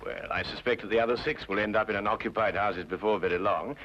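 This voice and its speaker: A man's voice: on the low side, steady tone, fast, slight background noise, lightly acted. An English actor playing a confident, nonchalant male detective with dry wit in an old-time radio drama. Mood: dry, wry. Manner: nonchalant, deductive, dryly witty. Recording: dull old radio recording, music behind.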